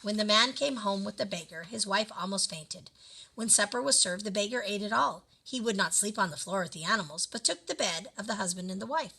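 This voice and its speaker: A woman reading a children's story aloud in an expressive, dramatic storytelling manner. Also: medium pitch, brisk, vocal fry, breathy edge. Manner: animated, dramatic delivery of characters.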